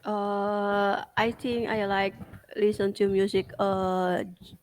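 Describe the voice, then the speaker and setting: Slow, hesitant woman from Indonesia. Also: mid-range voice, flat delivery, slight background noise. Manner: hesitant, halting learner English. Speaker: student. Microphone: video call.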